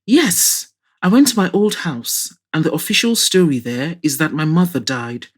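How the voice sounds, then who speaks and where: A woman's voice: sits low, big pitch swings, moderate pace, husky, full character voice. Female narrator as young woman, anxious, hopeful, chatty, emotional, audio drama dialogue. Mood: excited.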